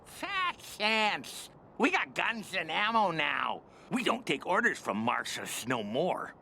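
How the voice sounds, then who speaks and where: Brash gravelly wasteland looter. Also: male voice, sits high, sing-song, slow pace, pushed and bright, a little hiss or hum, full character voice. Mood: defiant. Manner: brash, folksy, ungrammatical. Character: defiant scruffy wasteland looter with stolen guns. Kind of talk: video game character acting.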